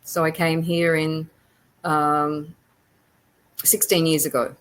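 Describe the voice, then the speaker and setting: A woman with an Australian accent speaking as a podcast guest, chatting casually in halting, reflective phrases. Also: low voice, level tone. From rural Australia. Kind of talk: casual interview chat.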